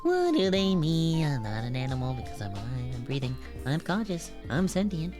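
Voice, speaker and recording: A man's voice: on the high side, huge pitch swings, normal speed, music in the background, voice pushed up. A man voicing an unhinged cartoon sea sponge, indignant and defiant, ranting obsessively.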